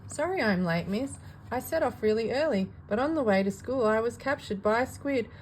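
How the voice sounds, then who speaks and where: A woman's voice: on the high side, lively intonation, moderate pace, slight background noise, natural voice, poor audio. Woman as an eager little storytelling boy fish. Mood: apologetic, eager. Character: small boy fish, earnest spinner of tall tales.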